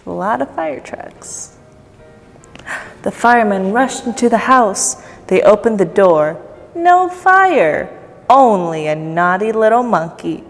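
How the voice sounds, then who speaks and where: Female storytime reader, expressive and playful with young listeners, long reverb, light music underneath. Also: medium pitch, takes it slowly. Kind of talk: children's storytime read-aloud. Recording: long echoey reverb, faint music behind.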